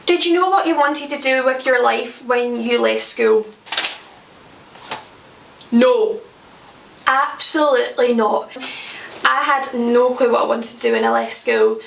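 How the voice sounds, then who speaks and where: A woman's voice: on the high side, a little slow, creaky, pauses often, echoey room, recorded from a distance, slight background noise. A Scottish woman in her twenties chatting casually to camera as a makeup vlogger at home, joking about her own slips. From Scotland. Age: 20s. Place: at home, indoors.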